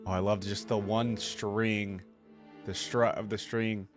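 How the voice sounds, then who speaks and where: Low-voiced man reacting over music. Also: little pitch movement, slow. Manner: informal, exclamatory, repeats words for emphasis. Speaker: reaction video host. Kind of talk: casual excited reaction commentary. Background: music playing behind the voice.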